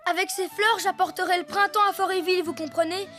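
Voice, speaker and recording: A woman's voice: extremely high pitch, fairly even intonation, fast talker, a bit bright. A woman voicing an eager, good-hearted young boy turtle with an earnest, childlike manner in a French-dubbed children's cartoon. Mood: boastful.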